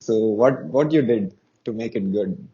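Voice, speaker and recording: A man's voice: on the low side, unhurried, dark tone, highs cut off. A man hosting an interview, asking questions hesitantly with many fillers. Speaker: interviewer, host.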